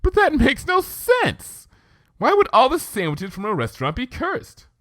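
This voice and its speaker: A man's voice: very high-pitched, wildly swooping pitch, measured pace, pushed and bright, dry recording, studio quality, voice pushed up, heavily acted. A man voicing a young, bookish female pony, skeptical and exasperated, reasoning articulately in a cartoon fan-fiction reading. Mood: incredulous.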